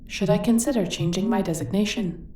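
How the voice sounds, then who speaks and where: A woman's voice: medium pitch, fairly quick, a little mellow, natural voice. Sci-fi ship computer, woman performer: female, literal, dryly loyal, formal diction, distant and roomy sound. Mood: earnest, literal. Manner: formal, precise wording without contractions. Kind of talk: scripted sci-fi audio roleplay. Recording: roomy, distant, faint background noise.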